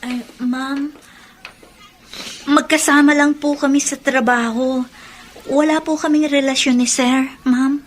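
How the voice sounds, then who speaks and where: A woman's voice: high-pitched, steady tone, takes it slowly, long pauses, a little hiss or hum. A woman playing a meek, polite, nervous young office coworker in a Filipino radio drama.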